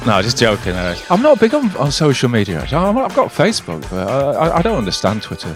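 Fast, hesitant comedian over music. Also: male voice, mid-range voice, wildly swooping pitch. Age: middle-aged. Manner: hesitant, stammering repetitions. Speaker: stand-up comedian. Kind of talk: deadpan one-liner comedy in interview answers. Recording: music playing behind the voice. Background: music bed.

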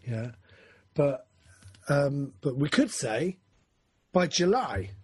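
A man's voice: fairly high pitch, big pitch swings, takes it slowly, breathy edge, no room sound. A man, talking through his goals in a casual, rambling, self-correcting chat. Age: 40s.

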